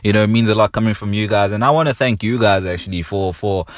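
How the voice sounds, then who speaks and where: A man's voice: lowish voice, fairly even intonation, quick pace, no echo, clean studio sound. A South African man hosting a podcast in a casual, slangy, enthusiastic and appreciative way. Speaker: podcast host. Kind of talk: casual chat.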